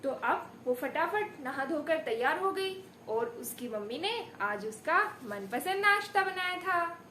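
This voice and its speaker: A woman teaching young children in an expressive storytelling style, with theatrical emphasis and rhetorical questions. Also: high voice, slow pace. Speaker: primary school teacher giving a demo lesson. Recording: echoey room with background noise.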